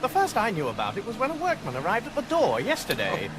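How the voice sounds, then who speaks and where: Outdoor noise sits behind an exasperated British man in a radio comedy. His voice is high and leaps about in pitch, sarcastic and indignant throughout. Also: wildly swooping pitch, quick pace. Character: exasperated, sarcastic ordinary Englishman defending his home. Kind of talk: comic radio drama acting.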